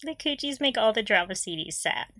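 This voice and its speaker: Lively, bright-voiced woman in casual chat. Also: fairly high pitch, fairly quick, no room sound, clean studio sound. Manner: giggly, reactive, expressive. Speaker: podcast co-host.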